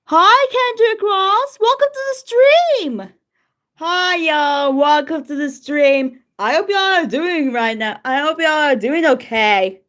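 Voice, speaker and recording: A woman from Turkey with a Turkish accent, whose first language is Turkish, chatting casually on a live stream, excitable and greeting viewers by name. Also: high voice, takes it slowly.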